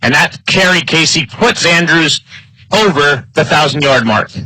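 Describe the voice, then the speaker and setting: A man announcing live football play-by-play in clipped phrases, his excitement building on big plays. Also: fairly high pitch, a little slow, slightly rough, dry recording, poor audio. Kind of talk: live sports play-by-play commentary.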